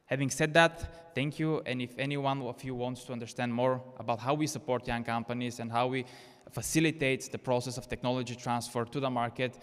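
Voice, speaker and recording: A man's voice: medium pitch, steady tone, fairly quick, a bit bright, clear, resonant tone. Man keynoting in an echoey hall. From Bulgaria. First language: Bulgarian. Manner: earnest, persuasive, with repeated restarts. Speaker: keynote speaker. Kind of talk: conference presentation. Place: conference hall. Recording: reverberant conference hall.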